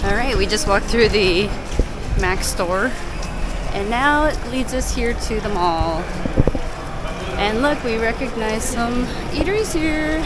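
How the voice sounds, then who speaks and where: Woman travel vlogger, casual chat, fairly high voice, loud music underneath. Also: takes it slowly. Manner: chatty, laughs often, excited exclamations. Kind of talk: casual travel vlog narration. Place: outdoors and in malls while walking. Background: loud background music.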